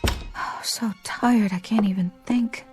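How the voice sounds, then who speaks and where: A woman's voice: medium pitch, fairly even intonation, slow, soft background music, close to an everyday voice. An actress in a radio drama playing an exhausted, anxious female club dancer who frets and protests. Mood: drained, troubled. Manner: fretful, protesting.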